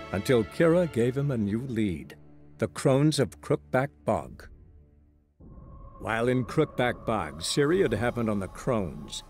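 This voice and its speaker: Fantasy game narrator: male actor as a bard, lowish pitch, storybook delivery with measured drama, faint score underneath. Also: darkish tone, close to an everyday voice. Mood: dramatic emphasis. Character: male bard recounting his monster-hunter friend's adventures.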